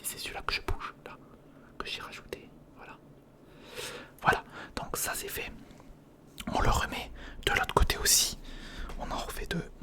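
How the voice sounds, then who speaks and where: Whispering man doing informal ASMR. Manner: informal, thinking aloud, repeating words softly. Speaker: ASMR video creator. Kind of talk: whispered ASMR, narrating a hands-on build. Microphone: close recorder or studio microphone.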